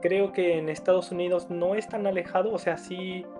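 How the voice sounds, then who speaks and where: A man interviews a guest in a relaxed way, hedging and pausing as he puts his questions together. His voice sits a little high for a man, and faint music plays under him. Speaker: interview host.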